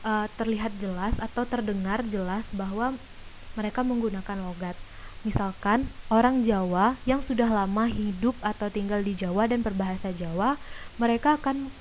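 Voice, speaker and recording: A young woman student in her twenties giving an earnest, measured lesson on language variation, with frequent hesitations. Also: mid-range voice, a little mellow, slight background noise, rough recording. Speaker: linguistics student presenter. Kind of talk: reading an explanatory lecture.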